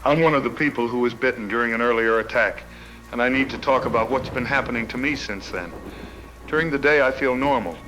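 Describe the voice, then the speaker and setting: A man's voice: mid-range voice, bright, forceful tone, natural voice, rough recording. An actor playing a frightened radio caller who fears he is changing, speaking in an anxious, confessional way. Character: frightened bitten man phoning in, fighting an inner change. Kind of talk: radio drama call-in monologue.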